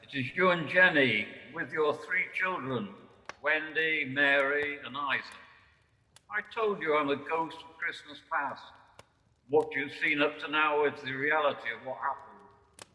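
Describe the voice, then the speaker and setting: A man performs a stern, probing spirit for a radio play. The voice sits a little high and is solemn and accusing. A long, echoing reverb surrounds every word. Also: unhurried, pushed and bright. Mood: commanding, solemn. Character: stern, solemn, accusing male ghost of Christmas past. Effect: ghostly reverb. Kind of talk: amateur radio play acting.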